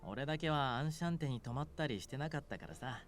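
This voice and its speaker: A man's voice: medium pitch, expressive, racing, faint music behind, natural voice. A voice actor playing an easygoing, teasing demon king in a Japanese visual novel, with a breezy, casual manner.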